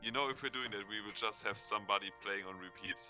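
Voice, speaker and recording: A man's voice: fairly low pitch, quick pace, over music. A man running a tabletop game as dungeon master, joking and often restarting his sentences. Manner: joking, self-interrupting.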